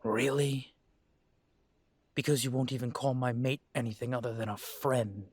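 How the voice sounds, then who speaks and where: A man playing an earnest young adult werewolf son in an audio drama, talking in a measured, steady way. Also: medium pitch, husky, no room sound. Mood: insistent. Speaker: audio drama creator and lead voice.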